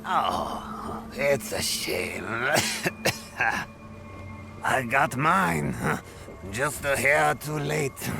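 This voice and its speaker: An actor playing a wounded, dying rogue in a video game, wry and resigned, speaking weakly and haltingly with labored breath. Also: male voice, mid-range voice, creaky. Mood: wry, fading. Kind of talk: dramatic video game cutscene acting. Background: dramatic game music.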